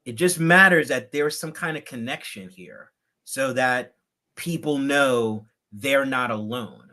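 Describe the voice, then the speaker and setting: A man in his fifties talking on a video call, building his points in a measured, deliberate way. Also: medium pitch, animated, bright, forceful tone. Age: 50s. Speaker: guest. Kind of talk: reflective livecast conversation.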